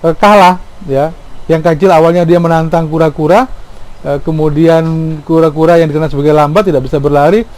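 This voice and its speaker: A middle-aged man talks through his ideas in an informal, explanatory way. His voice sits a little high for a man and has a dark, relaxed tone. He is reflective, often posing rhetorical questions and adding small tags that check for agreement. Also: a little fast, low-quality recording. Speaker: speaker giving commentary on folk tales.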